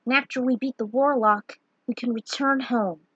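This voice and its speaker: A woman's voice: on the high side, fairly even intonation, normal speed, a bit bright, slightly rough, no echo, dull audio, pitched above the performer's own voice. A woman acts out a kind male warrior who leads his party in a fantasy tale. He speaks in a raised, encouraging voice, recorded dry. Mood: reassuring.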